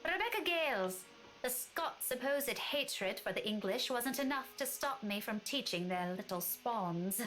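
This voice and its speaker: A woman's voice: fairly high pitch, animated, unhurried, fairly bright tone, low-quality recording. An actress playing a tiny, rebellious, scholarly young woman in a visual novel, rolling her R's. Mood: friendly, wry. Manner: rolled R's.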